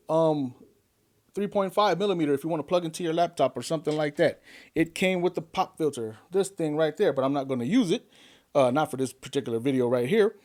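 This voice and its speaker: A man's voice: fairly high pitch, a little mellow. A man talking casually to camera in a home studio, upbeat and chatty, asking viewers lots of questions, recorded close on a desk condenser mic. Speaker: YouTube tech reviewer. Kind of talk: casual vlog talk to camera. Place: home studio with gear behind. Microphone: desk-mounted condenser mic on an arm.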